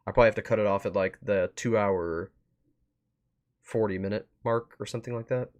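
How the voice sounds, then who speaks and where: A male streamer with a fairly low voice talks casually to his viewers. He rambles and thinks out loud as he goes, in an informal, chatty host style. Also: fairly even intonation, a little fast. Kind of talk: casual livestream wrap-up chat.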